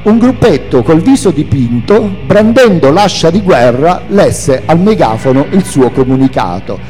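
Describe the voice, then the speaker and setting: Expressive high-pitched man reading aloud over music. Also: a little slow, rough recording. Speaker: reader of a memoir.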